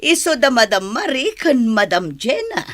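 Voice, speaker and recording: A woman's voice: high-pitched, big pitch swings, moderate pace, a bit bright. A woman with a Filipino accent acting a kind, patient special education teacher, polite and instructive, in a radio drama. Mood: welcoming, instructive.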